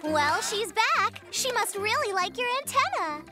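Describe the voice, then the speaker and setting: A woman voicing a sweet, earnest, nature-loving little bear cub in a children's cartoon, tender and gently insistent. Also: extremely high pitch, very animated, measured pace, heavily acted. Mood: gentle, lyrical. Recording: music bed behind the voice.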